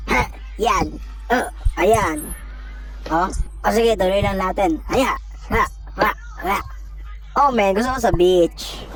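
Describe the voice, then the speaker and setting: Woman voicing an excitable, squealing little cartoon stick figure. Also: mid-range voice, low-quality recording. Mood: playful, exasperated. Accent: Filipino. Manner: exclamatory, Taglish slang, often squealing. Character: excitable, playful animated stick figures chattering and cleaning up trash. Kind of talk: amateur Filipino fan dub of an animation.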